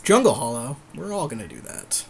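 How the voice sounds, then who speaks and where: A man's voice: mid-range voice, huge pitch swings, fairly quick, vocal fry, background noise. A man giving casual commentary on a card game as a podcast co-host, chatty and thinking aloud with a partner.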